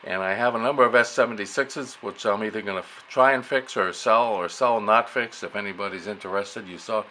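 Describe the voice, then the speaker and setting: Low-voiced man, halting, with noisy background. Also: pushed and bright. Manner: halting, muttering to himself while working. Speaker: amateur radio operator demonstrating vintage gear. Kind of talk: casual hands-on demonstration. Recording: clearly noisy room recording.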